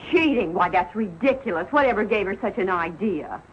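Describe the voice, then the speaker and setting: A woman's voice: medium pitch, expressive, fairly quick, lightly acted. Woman acting a no-nonsense sitcom housewife, muffled recording. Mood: scolding. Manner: pointed, sarcastic, scolding. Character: sharp, suspicious, no-nonsense housewife and mother. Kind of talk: 1940s television sitcom acting. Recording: muffled, noisy old 1940s TV soundtrack.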